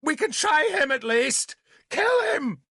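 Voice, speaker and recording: A man voicing a fierce, fanatical pagan priestess in an audiobook, shrill, belligerent and sneering. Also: takes it slowly, gravelly, sharp tone, no echo, studio quality, heavily acted. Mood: frenzied screaming. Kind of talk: dramatic audiobook character voice.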